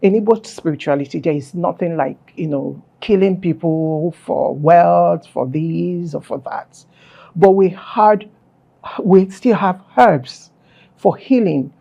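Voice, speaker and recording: A woman's voice: fairly low pitch, takes it slowly, creaky, dark tone. A woman, a guest on an interview, explaining her beliefs firmly and stressing key words. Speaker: guest, film festival founder. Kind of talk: earnest explanatory interview talk.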